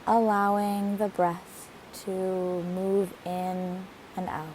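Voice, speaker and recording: Woman doing guided meditation, really slow and soothing, lowish voice, bit of hiss behind her. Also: level tone, poor audio. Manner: gentle, soothing, deliberate phrasing. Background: hiss, rushing waterfall water.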